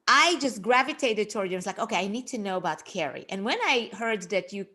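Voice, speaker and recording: A middle-aged woman from Israel with an Israeli accent, chatting as a podcast guest in an expressive, laughing way. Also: mid-range voice, quick pace. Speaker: guest, life coach. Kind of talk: casual podcast chat.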